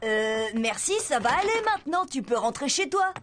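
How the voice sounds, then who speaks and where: A woman voicing a clever, wry, often exasperated preteen schoolboy in an animated cartoon dub. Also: high voice, moderate pace, background noise. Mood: polite, weary. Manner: reasoning, wry, expressive.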